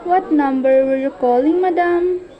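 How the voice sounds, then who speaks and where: A woman's voice: sits high, measured pace, dull audio, some chatter behind. A woman playing a polite, formal telephone operator in an amateur radio play. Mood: politely apologetic.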